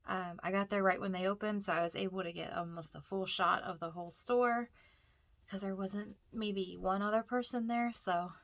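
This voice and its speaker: A woman's voice: mid-range voice, steady tone, moderate pace, a bit bright, no echo. A woman with a cold and a stuffy, nasal voice, chatting casually as she narrates a walk through a thrift store. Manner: chatty, rambling, frequent fillers and restarts.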